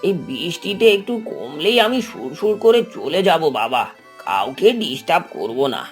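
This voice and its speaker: An actor playing a humble old shopkeeper in a cartoon, pleading meekly and apologetically in a folksy way. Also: male voice, a little high, very animated, moderate pace, faint music behind. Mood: weary pleading. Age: older. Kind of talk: cartoon voice acting.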